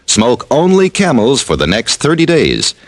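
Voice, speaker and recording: American man, mid-pitched, vintage radio ad read, authoritative salesman, widely swinging intonation. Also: huge pitch swings, unhurried. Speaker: advertising announcer. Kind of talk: 1940s radio commercial read.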